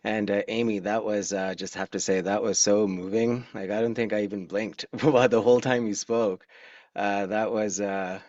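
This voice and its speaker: A middle-aged man from Pakistan telling his personal story candidly and informally, with halting pauses, on a video call. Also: lowish voice, fairly even intonation, a little mellow, no echo. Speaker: guest speaker. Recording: dull, dry video-call audio.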